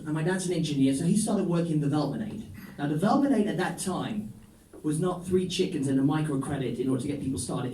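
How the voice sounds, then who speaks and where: Wry high-voiced comedian, echoey club. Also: male voice, a little hiss or hum. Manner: dry, ironic storytelling with deadpan asides. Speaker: stand-up comedian. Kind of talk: stand-up set. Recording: echoey room, voice from a distance.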